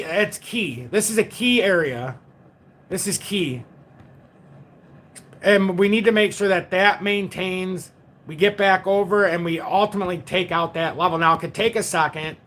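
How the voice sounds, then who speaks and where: A man's voice: sits high, a bit bright. Man in his forties talking crypto charts. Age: 40s. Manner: talks to chat, reads viewer comments, repeats himself. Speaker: crypto livestream host. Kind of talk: casual chart analysis livestream.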